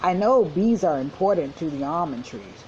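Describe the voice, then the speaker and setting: A woman's voice: sits low, lively intonation, normal speed, warm tone, muffled recording, a little hiss or hum. A woman voicing a young schoolgirl in a children's storybook, unsure of herself, curious and questioning. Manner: questioning, self-doubting. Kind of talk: children's storybook read-aloud.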